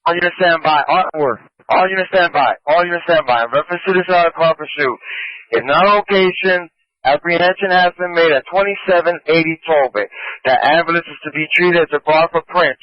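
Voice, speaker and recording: A man's voice: on the high side, fairly quick, dry recording, muffled recording. A male police radio dispatcher repeating terse orders to all units.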